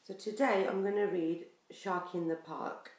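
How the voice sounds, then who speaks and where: A woman in her forties reading a picture book aloud to young children in an expressive, sing-song way. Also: medium pitch, a little slow, a little hiss or hum. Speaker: preschool storyteller. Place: small bare room indoors. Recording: echoey small room.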